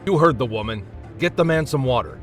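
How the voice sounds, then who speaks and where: An actor playing a firm, pragmatic crew member enforcing quarantine in an audio drama, speaking insistently. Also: male voice, mid-range voice, animated, brisk, close to an everyday voice. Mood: firm, urgent. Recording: music under the voice.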